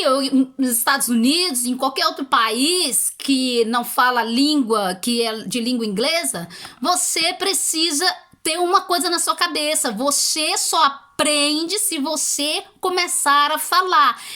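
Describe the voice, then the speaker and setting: A woman's voice: high-pitched, pushed and bright. A Brazilian woman vlogger giving casual motivational advice in an encouraging, conversational, emphatic way, with a Brazilian accent. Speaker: vlogger giving language-learning tips.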